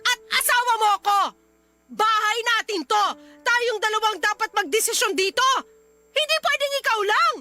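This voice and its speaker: Shrill, high-pitched indignant Filipino wife. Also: female voice, normal speed, bright, forceful tone, soft background music. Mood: furious. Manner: emphatic, scolding. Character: angry young wife and mother, indignant, confrontational. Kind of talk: radio drama acting.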